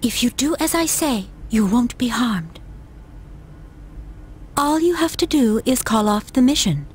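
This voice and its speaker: A woman playing a cold, aloof young female antagonist in a video game, detached and deliberate in delivery. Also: a little high, lively intonation, slight background noise. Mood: cold, commanding.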